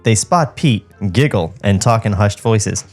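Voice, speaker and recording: A man's voice: lowish voice, animated, a little slow, darkish tone, soft background music. A man narrating stage directions from a script at a podcast table read. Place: podcast studio.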